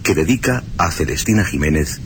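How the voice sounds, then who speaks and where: A man's voice: low-pitched, animated, fairly quick, background noise. A man presenting a radio story programme in a formal, scripted style.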